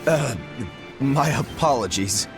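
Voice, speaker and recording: An actor playing a calm, serious, courteous young man in a fantasy video game, speaking in a measured way. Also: medium pitch, over music. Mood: apologetic. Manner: measured, polite. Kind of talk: English game voice acting.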